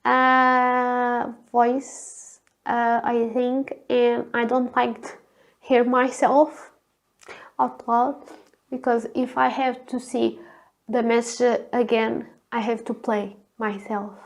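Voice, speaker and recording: A woman with a thin, girly voice, hoarse from a cold, chatting alone on camera and often searching for words. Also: on the high side, little pitch movement, takes it slowly. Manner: hesitant, searching for words, frequent fillers. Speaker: vlogger. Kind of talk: casual solo chat.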